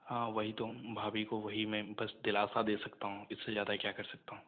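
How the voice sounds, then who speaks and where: Low, flat-voiced young man on phone. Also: a little fast. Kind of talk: casual phone conversation. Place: phone call. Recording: muffled phone call recording.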